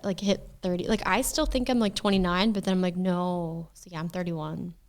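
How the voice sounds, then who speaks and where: A woman's voice: lowish voice, steady tone, normal speed. Thirties woman chatting on a podcast, jokey and self-mocking, laughs easy. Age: 30s. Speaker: podcast guest. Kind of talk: casual chat.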